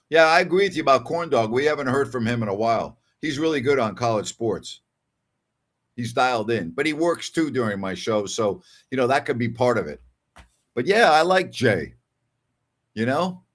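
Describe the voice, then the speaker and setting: An older man hosting a casual sports call-in show, talking in a conversational, emphatic way and repeating phrases for emphasis. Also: medium pitch. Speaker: sports talk show host. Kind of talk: casual call-in show chat reading listener messages.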